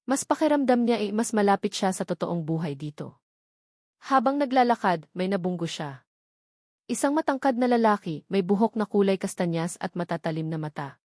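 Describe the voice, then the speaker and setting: A woman's voice: mid-range voice, lively intonation, moderate pace, a little mellow, no echo. Female Filipino storyteller for romance narration, mid-range voice, dry intimate recording.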